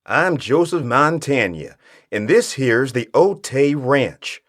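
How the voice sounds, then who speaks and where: A man's voice: medium pitch, very animated, slow. A man playing a folksy ranch cowboy. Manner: drawling folksy cowboy talk. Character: folksy adult male dude-ranch cowboy. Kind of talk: comedy radio play acting.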